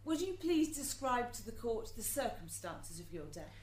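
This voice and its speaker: A woman's voice: fairly high pitch, very animated, normal speed, a little mellow. A woman playing a formal, relentless devil's advocate questioning in an amateur radio courtroom drama. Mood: coolly probing. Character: crisp, relentless devil's advocate prosecuting souls. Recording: echoey room, faint noise.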